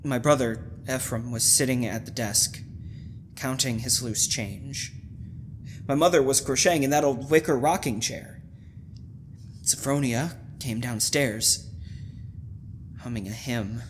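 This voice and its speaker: A man acting a menacing, unhinged husband obsessed with his dead sister, speaking in a deliberate, domineering way in a radio thriller style. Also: mid-range voice, a little hiss or hum, lightly acted. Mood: brooding, reminiscing.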